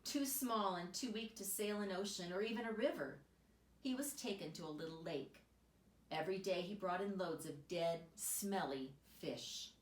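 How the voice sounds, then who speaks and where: A woman reading a children's picture book aloud as an expressive storyteller, recorded in a roomy space away from the microphone. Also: fairly low pitch, moderate pace. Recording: roomy echo, distant microphone.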